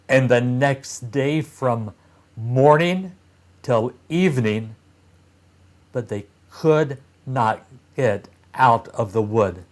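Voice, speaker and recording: Male storyteller, fairy-tale read-aloud, very slow, animated intonation, deliberate pauses, expressive emphasis. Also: mid-range voice. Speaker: storyteller reading aloud to young children.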